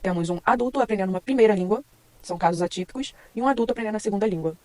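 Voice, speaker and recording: A woman with a Brazilian Portuguese accent, an English teacher explaining a topic in a calm, measured video lesson. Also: mid-range voice, reverberant.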